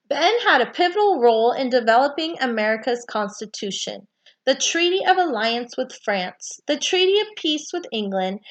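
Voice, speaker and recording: High-pitched woman reading aloud. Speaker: reader reading a children's book aloud. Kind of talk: read-aloud narration of a children's nonfiction book.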